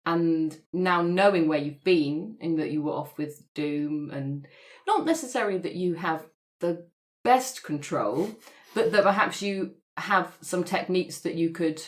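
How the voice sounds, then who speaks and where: A woman with a notably deep voice talks casually in a roleplaying group. She discusses the game as one of the players, conversational and informal. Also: a little slow.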